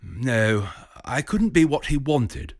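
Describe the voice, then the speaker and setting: A man's voice: mid-range voice, very animated, slow, exaggerated voice. A man voicing a genial, scheming British professor with a jovial, clipped upper-class manner.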